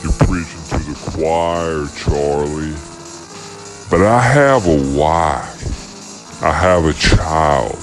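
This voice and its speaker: Comedy radio host, male actor: low, unhurried, commanding man, patronizing, over prominent music. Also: exaggerated voice. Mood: wry, confiding.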